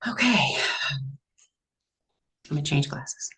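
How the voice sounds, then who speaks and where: Chatty Texan woman with gravelly voice. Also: sits low, animated, takes it slowly, fairly bright tone. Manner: chatty, self-narrating, laughs easily. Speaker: psychic medium and show host. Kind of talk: casual livestream chat. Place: at home.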